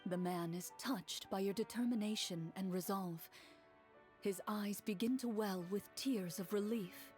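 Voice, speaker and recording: An actress playing a female game master, narrating a tabletop tale in a measured storytelling manner. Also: mid-range voice, a little mellow, faint music behind, natural voice. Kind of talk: scripted second-person narration.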